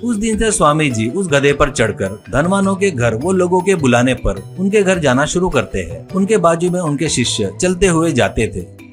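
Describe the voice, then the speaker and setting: A man's voice: a little high, big pitch swings, moderate pace. Male storyteller over music. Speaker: narrator. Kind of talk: storytelling narration of a moral tale.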